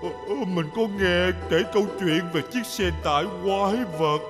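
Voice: mid-range voice, moderate pace, lightly acted. An actor voicing an anxious, stammering talking truck who tells ghost stories in a dubbed children's cartoon. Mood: nervous. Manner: hesitant, stammering. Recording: music behind the voice.

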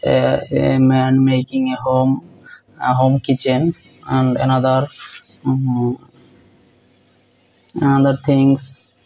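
A young man hosting a reaction video in halting, repetitive English with many fillers, filmed close on a phone in a small room. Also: mid-range voice, monotone, gravelly, dull audio. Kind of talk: casual reaction commentary.

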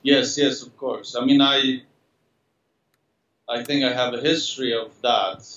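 A middle-aged man, answering interview questions slowly over a video call, with many fillers and restarts. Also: mid-range voice, fairly even intonation, fairly bright tone. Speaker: interviewed artist. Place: studio room, indoors. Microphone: laptop webcam on a video call. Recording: roomy, distant, dull video call audio.

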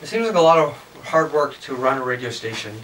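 A man's voice: mid-range voice, expressive, quick pace, fairly bright tone. A man in his thirties hosting a casual interview in an informal, bantering, self-deprecating way. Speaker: show host, interviewer. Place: indoor lounge with amps and instruments. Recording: distant mic, faint background noise.

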